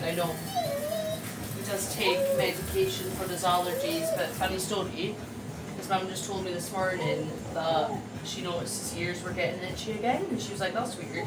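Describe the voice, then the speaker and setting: A woman's voice: medium pitch, normal speed, over loud music. A woman with a Scottish accent who works as a dog groomer, chatting and rambling casually while she bathes a dog. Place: grooming room. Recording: echoey room, far from mic, loud water noise.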